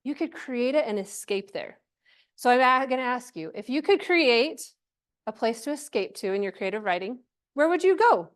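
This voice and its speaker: Female workshop presenter, higher-pitched voice, chatty and encouraging, informal delivery to a live group. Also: lively intonation, normal speed. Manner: chatty, encouraging, checks in with questions and "right?".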